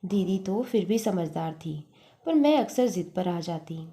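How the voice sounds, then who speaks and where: A woman narrating a literary story as a storyteller, reading expressively in an earnest, measured manner. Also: on the low side, expressive, warm tone.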